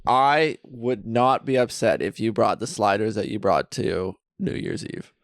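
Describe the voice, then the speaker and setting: A man co-hosting a casual podcast from a friend's basement, bantering and joking quickly. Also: fairly low pitch, expressive, no room sound, clean studio sound. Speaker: podcast co-host. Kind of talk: casual chat.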